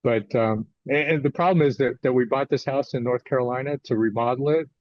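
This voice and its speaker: A man in his sixties with an American accent talks as a guest author in an interview. His delivery is halting and conversational. The sound is close and dry but a little dull and lo-fi. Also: mid-range voice, no echo. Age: 60s. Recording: slightly dull, lo-fi audio.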